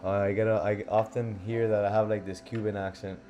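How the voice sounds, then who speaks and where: A man's voice: on the low side, little pitch movement, a little fast, mellow. A young man from Miami hosting a live stream, talking casually and loosely, thinking aloud with lots of fillers. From Miami, USA. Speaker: livestream host, forex trader. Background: faint lo-fi background music.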